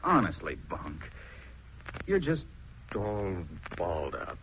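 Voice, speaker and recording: An actor playing a gruff, skeptical, down-to-earth husband with a blunt, slangy way of talking in a 1940s radio drama. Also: male voice, deep voice, wildly swooping pitch, takes it slowly, fairly bright tone, heavily acted. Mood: exasperated. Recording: dull, noisy 1940s radio recording.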